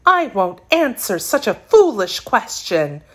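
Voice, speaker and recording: A woman's voice: on the high side, very animated, unhurried, a bit bright, pitched above the performer's own voice, heavily acted. A woman voicing a surly, impudent female kitten in a children's storybook, curt and defiant. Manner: curt, snarly.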